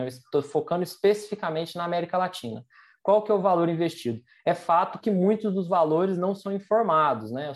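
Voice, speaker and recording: A Brazilian academic speaks at a medium pitch, explaining research as a guest in a debate. The delivery is articulate and discursive, punctuated by small check-in tags. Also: a little mellow.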